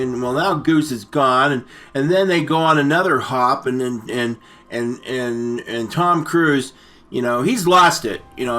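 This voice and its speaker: A man's voice: medium pitch, bright, forceful tone. A man hosting a comic story time, retelling a movie in a rambling, stammering way with muddled names and asides. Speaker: story-time show host.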